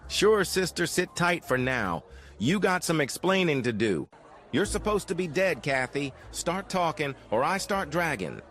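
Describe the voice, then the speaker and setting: An actor playing a tough, cynical 1940s private eye in a noir radio drama, clipped, sardonic and confrontational. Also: male voice, on the high side, big pitch swings, a little slow, a bit bright. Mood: accusing, cynical.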